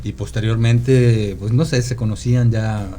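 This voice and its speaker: Male, Guadalajara Mexican accent, dark relaxed tone. Meandering, halting raconteur in casual interview, slight background noise. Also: medium pitch. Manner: halting, meandering storyteller who seeks confirmation with "¿no?". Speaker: podcast guest, rock musician. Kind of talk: casual reminiscing conversation.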